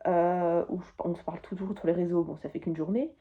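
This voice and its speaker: A woman's voice: fairly low pitch, fairly even intonation, a little fast, phone-quality sound. A teenage girl vlogging from her bedroom, chatting casually and enthusiastically about her souvenirs, rambling as she goes. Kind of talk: casual chat to camera.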